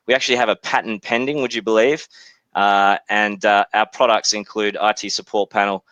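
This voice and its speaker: A man in his forties with an Australian accent, politely presenting his software company in a webinar, speaking in a measured way with frequent hesitations. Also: fairly low pitch, fairly even intonation, dry recording, muffled recording.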